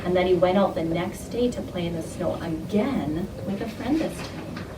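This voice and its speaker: A woman's voice: on the low side, moderate pace. Woman teaching children in a noisy, roomy classroom. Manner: instructive, questioning, repeats children's answers back. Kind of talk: interactive read-aloud lesson to young children. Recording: roomy, distant mic, loud classroom noise.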